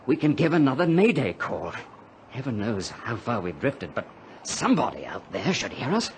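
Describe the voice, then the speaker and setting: An actor playing a gruff, determined castaway adrift at sea in a radio drama. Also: male voice, mid-range voice, wildly swooping pitch, moderate pace. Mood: excited, commanding. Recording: noisy radio drama recording.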